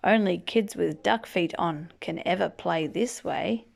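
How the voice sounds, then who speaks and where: A woman's voice: lowish voice, measured pace, vocal fry. A woman reading a children's picture book aloud in a playful manner, drawing out words for emphasis. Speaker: storybook reader. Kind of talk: animated children's read-aloud with asides.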